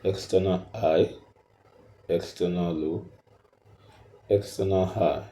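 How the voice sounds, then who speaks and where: A man with a low, very dark and mellow voice teaches step by step. He speaks slowly and haltingly, often repeating himself as he points things out. The recording is roomy and echoing. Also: steady tone. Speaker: trading tutor. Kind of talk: explanatory screen tutorial, step by step.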